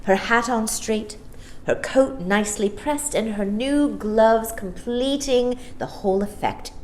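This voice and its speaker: A woman's voice: on the high side, slow pace, a little mellow, a little hiss or hum. A woman narrating a children's story expressively from a script as a storyteller.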